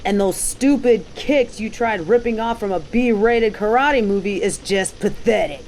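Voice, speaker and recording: A woman's voice: mid-range voice, measured pace, a little mellow, a little hiss or hum, close to an everyday voice. A woman playing a cocky, dominant bounty hunter in an audio roleplay, with a mocking, taunting, slangy delivery. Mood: scornful, lecturing. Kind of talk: scripted roleplay audio drama.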